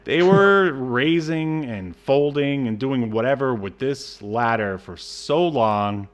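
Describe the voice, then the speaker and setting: A man co-hosting a podcast, casually reviewing a film in a halting, wryly exasperated way. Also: medium pitch, expressive. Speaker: podcast co-host.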